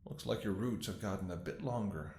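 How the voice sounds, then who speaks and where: A man's voice: fairly low pitch, fairly quick, darkish tone, reverberant, natural voice. A man playing a weary, devoted doctor in an intimate ASMR audio roleplay, speaking tenderly and soothingly to an ailing lover. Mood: clinical, hopeful. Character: weary, devoted male doctor tending an infected lover in apocalypse. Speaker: voice actor.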